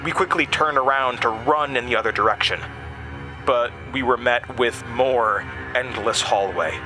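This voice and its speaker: A man's voice: medium pitch, unhurried, low-quality recording. A man narrating a horror audio drama in the first person, with a grave, suspenseful delivery. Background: music.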